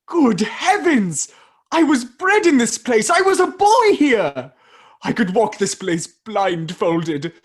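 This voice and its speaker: A woman playing a regretful, easily moved old man in a radio play, with a husky, breathy voice and halting, dramatic delivery. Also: fairly high pitch, sing-song, heavily acted. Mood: amazed. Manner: halting, dramatic, swinging between exclamation and hush.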